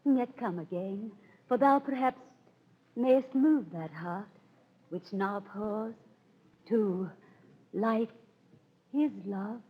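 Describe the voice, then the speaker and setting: A woman playing a proud, yearning highborn lady, with stately verse delivery in 1930s radio Shakespeare style. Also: slow pace, long pauses, a little hiss or hum, close to an everyday voice. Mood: passionate, pleading. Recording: thin, phone-like 1930s radio recording.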